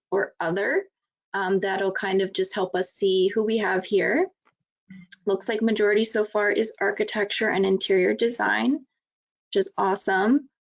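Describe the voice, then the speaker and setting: Woman webinar host, casual and upbeat, gravelly fry, lo-fi call audio. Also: medium pitch. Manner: upbeat, casual, many fillers. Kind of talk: hosting a live webinar. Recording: dull lo-fi web audio.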